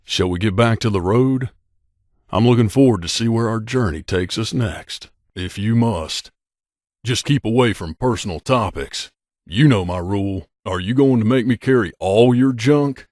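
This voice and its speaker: A man playing a grizzled, guarded veteran mercenary in a video game, with a gruff, curt, world-weary manner. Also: on the low side, normal speed, a little mellow, dry recording, studio quality, close to an everyday voice. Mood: irritable. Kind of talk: video game companion dialogue.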